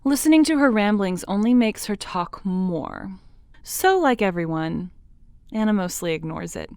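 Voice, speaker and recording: A woman reading scripted narration for an audio drama, in a lively, expressive way. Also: mid-range voice, unhurried, clean tone, dry recording, studio quality.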